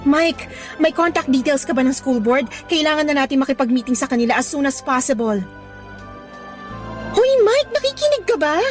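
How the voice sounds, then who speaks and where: A woman playing an earnest young female teacher in a radio romance drama, expressive and mixing Tagalog with English. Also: sits high, moderate pace, soft background music. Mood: agitated.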